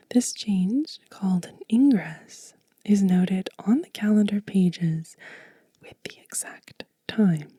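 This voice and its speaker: A woman hosting soft-spoken ASMR, gently reading and explaining in a hushed, breathy whisper close to the microphone. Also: medium pitch, dry recording. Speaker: ASMR host.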